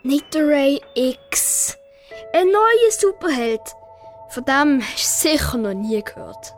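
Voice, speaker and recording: A woman's voice: sits high, sing-song, slow pace, faint music behind, exaggerated voice. A woman playing an earnest, secretive young boy in a children's audio story. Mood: secretive, proud.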